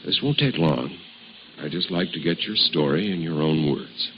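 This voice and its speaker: An actor playing a stern, pressing deputy district attorney in a radio drama, speaking formally and forcefully with deliberate phrasing. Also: male voice, rumbling low. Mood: formal, businesslike. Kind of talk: 1950s radio drama acting. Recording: muffled, noisy old radio recording.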